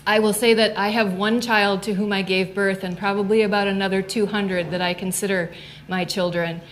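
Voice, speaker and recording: A woman's voice: mid-range voice, steady tone, moderate pace, slight background noise. A woman, a student club advisor, giving an upbeat, fluent seminar talk in a lecture room.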